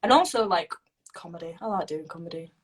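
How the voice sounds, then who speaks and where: A young woman, chatting playfully and agreeing quickly in a casual conversation. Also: lowish voice, lively intonation, breathy edge. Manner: bubbly and playful, lots of ums and quick agreement. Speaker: actor answering fan questions. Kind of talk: casual chat.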